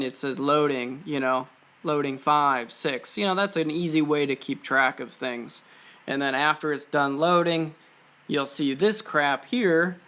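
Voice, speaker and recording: A man's voice: a little high, pushed and bright. A man presenting an electronics and coding tutorial in an informal, offhand way, explaining things step by step as he walks through code. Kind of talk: casual screen-share code walkthrough.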